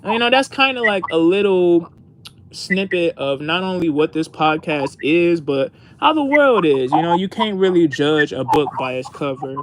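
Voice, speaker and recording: A man's voice: fairly high pitch, animated, moderate pace, a bit bright, a little hiss or hum. An American man co-hosting a podcast, chatting casually in an earnest, rambling way over an online voice call. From United States. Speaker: podcast co-host.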